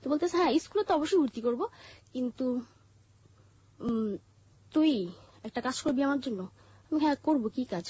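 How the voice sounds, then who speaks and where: High-voiced Bangladeshi woman, husky and halting. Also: expressive, darkish tone, dry recording. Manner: halting, with frequent pauses and repeated phrases. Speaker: guest telling own life story. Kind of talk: personal life-story narration in an interview. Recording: muffled, dull lo-fi audio.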